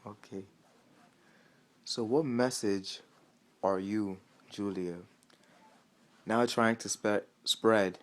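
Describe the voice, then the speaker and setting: A man acting as the interviewer in a casual mock interview, asking halting questions with pauses and restarts. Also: lowish voice, steady tone, a bit bright. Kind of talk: casual school role-play interview.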